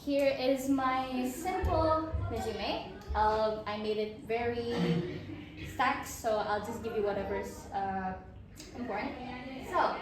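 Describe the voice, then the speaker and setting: Teen girl in a very echoey room. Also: on the high side. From Indonesia. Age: teens. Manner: chatty, upbeat, with fillers and restarts. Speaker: student presenting a portfolio. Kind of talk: casual self-presentation. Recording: very echoey room, from far off, crowd behind.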